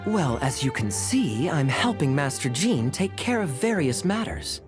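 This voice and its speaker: A man voicing a suave, teasing young knight captain in a video game, smooth and wryly polite. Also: on the high side, very animated, unhurried. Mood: casual, persuasive. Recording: music clearly behind voice.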